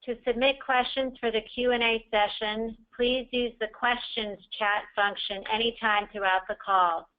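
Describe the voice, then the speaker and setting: A woman reading a formal, scripted introduction as moderator of a conference call, in a measured, carefully enunciated way. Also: on the high side, steady tone, clean tone. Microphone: conference call line. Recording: dull, lo-fi conference call audio.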